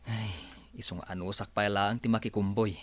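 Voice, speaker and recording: A man's voice: on the low side, steady tone, moderate pace, dry recording, natural voice. A man playing an easygoing, stubbornly hopeful young husband in a radio drama, talking casually. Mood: worried.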